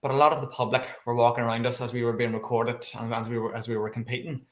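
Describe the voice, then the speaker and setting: A man's voice: mid-range voice, flat delivery, fast talker. A man with a Northern Irish accent telling personal stories as an interview guest, chatty and enthusiastic. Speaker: interview guest, landscape painter. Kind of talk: casual interview, personal storytelling. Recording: echoey, roomy sound.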